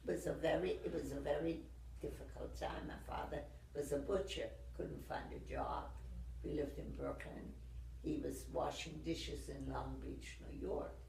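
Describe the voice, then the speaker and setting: A woman's voice: deep voice, fairly even intonation, unhurried, creaky, a little mellow, a little hiss or hum. A woman in her nineties from Germany, plainspoken, telling her life story in an interview and often correcting herself. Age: 90s. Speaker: interviewee, resident. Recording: echoey room, mic far off, faint ambience.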